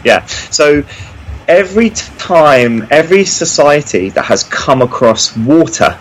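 A man speaking as an interview guest, explaining things in an animated, emphatic, conversational way. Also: fairly high pitch, measured pace, a little hiss or hum, poor audio. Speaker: podcast guest, folklorist.

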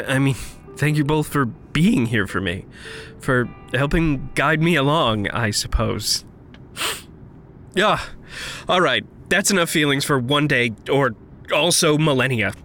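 An actor in an audio drama playing a vulnerable, earnest big brother with magic, speaking in a halting, reflective, formal way. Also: male voice, mid-range voice, a bit bright.

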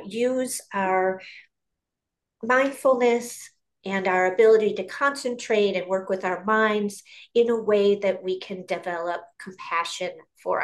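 A woman from Queens, a meditation teacher and author, explaining her practice calmly and carefully on a video call. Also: mid-range voice. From New York, Queens. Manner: calm, measured explanations with fillers. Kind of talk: interview guest. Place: outdoors or by a window in a loud city.

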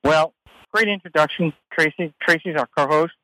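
A middle-aged man hosting a business radio show, his voice pitched a bit high. He jokes and teases in a playful, self-mocking way. The studio sound is dry and close. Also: normal speed, pushed and bright, no echo, rough recording. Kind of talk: joking radio banter.

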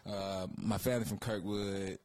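A man from the Atlanta area speaks as a guest in a casual interview. His voice is fairly low, with a Southern accent and a close, dry sound. He rambles through stories with plenty of slang and swearing. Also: steady tone, moderate pace, darkish tone, no room sound. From Georgia, USA, Atlanta area. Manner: slangy, profane, rambling storytelling. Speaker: guest, music creative.

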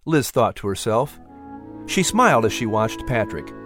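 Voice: mid-range voice, sing-song, moderate pace, a bit bright, soft background music. A narrator reading a children's historical story as scripted audiobook narration.

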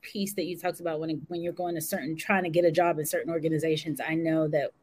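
A woman hosting a live chat, talking in a lively, friendly way and laughing easily. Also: sits low, fast, breathy edge. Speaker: host. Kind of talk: casual live stream chat.

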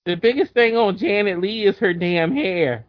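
A woman's voice: mid-range voice, expressive, normal speed, dry recording. A woman joking in slangy casual chat with friends, laughing often. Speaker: group chat participant. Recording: dull lo-fi sound.

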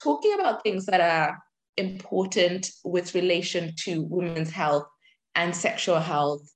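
A woman in her twenties from Zimbabwe, a guest on a podcast, answering thoughtfully with reflective pauses. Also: lowish voice, expressive. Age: 20s. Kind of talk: conversational interview answers.

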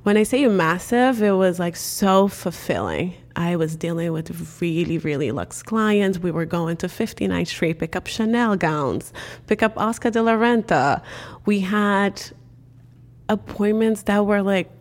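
A woman's voice: medium pitch, measured pace. Woman telling her story. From Cape Verde. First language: Cape Verdean Creole. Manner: animated storytelling, frequent "like" fillers. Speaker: guest. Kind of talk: casual interview chat.

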